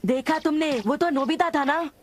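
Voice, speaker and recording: A woman voicing a flattering, agreeable schoolboy sidekick in a cartoon dub. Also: high voice, moderate pace, a little hiss or hum, lightly acted.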